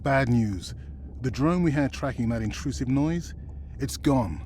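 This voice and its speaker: Game role: matter-of-fact male crew technician, played by an actor, mid-pitched, slight ambient noise behind. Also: expressive, measured pace, darkish tone, close to an everyday voice. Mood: grave. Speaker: research crew colleague. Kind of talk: video game cutscene dialogue.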